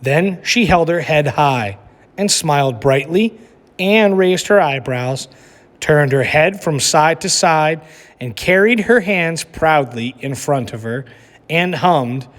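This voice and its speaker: A man reading a children's picture book aloud as a teacher, with slow, expressive storytelling. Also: fairly high pitch, big-room sound.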